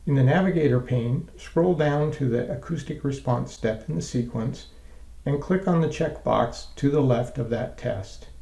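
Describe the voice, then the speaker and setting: A man narrating a scripted software tutorial in a measured, methodical, step-by-step way. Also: mid-range voice, vocal fry, reverberant.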